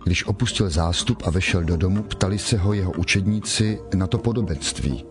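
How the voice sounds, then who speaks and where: A man narrating scripture from a script in a solemn, measured manner. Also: sits low. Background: music.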